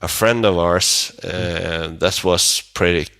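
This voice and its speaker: Deep-voiced man, slow and halting. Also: fairly even intonation, creaky, pushed and bright, clean tone, no room sound. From Sweden. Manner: halting, searching for words. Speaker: band vocalist, interview guest. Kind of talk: casual interview.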